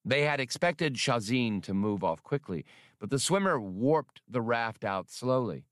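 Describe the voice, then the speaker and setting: A man's voice: mid-range voice, lively intonation, measured pace, no room sound, studio quality. A man reading an adventure story as an audiobook narrator, in a scripted, dramatic style.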